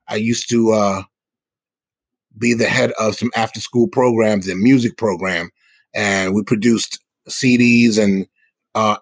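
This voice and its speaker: A man's voice: fairly low pitch, dry recording. Earnest man, dry sound. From South Bronx, New York City. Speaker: hip hop musician and guest. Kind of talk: conversational interview answers.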